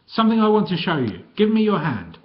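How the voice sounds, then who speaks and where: A man's voice: high voice, wildly swooping pitch, a little fast, muffled recording, pitched above the performer's own voice. A man voicing a huge, jolly old female ex-wrestler with a guttural voice. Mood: hearty.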